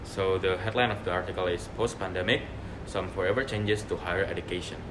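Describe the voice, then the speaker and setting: A young man with a very low voice moderates a student discussion. He is recorded in a noisy room with a steady hum behind him. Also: fairly quick. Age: young adult. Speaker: discussion leader.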